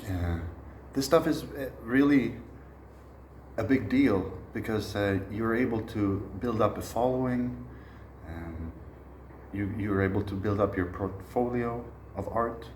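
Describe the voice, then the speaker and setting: A low-voiced man in his thirties, originally from Sweden, talks through a masterclass in a reflective, unhurried way, pausing often. The room has a noticeable echo, with faint hiss underneath. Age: 30s. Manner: relaxed, reflective, frequent "uh" pauses. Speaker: musician teaching a masterclass. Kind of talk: informal spoken lecture.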